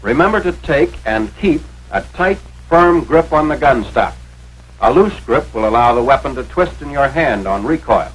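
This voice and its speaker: Husky American man, 1940s training-film narrator. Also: mid-range voice, expressive, normal speed, low-quality recording.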